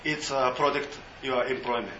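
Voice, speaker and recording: Guy explaining stuff at a meeting, lots of uh, bright voice, echoey dull room. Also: medium pitch, steady tone, slight background noise. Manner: halting, many fillers, non-native English. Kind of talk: informal seminar talk with questions.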